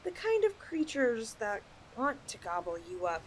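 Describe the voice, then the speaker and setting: A woman's voice: a little high, big pitch swings, measured pace, a little hiss or hum, low-quality recording. A woman voicing a possessive, flirtatious lamia in a roleplay ASMR style, coaxing her chosen mate with sweet condescension. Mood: teasing, ominous.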